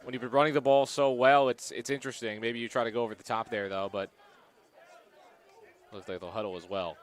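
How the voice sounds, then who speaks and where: A man's voice: medium pitch, fairly even intonation, fairly quick, a faint crowd. A man giving easygoing, chatty color commentary on a live football game. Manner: easygoing, chatty analysis with filler phrases.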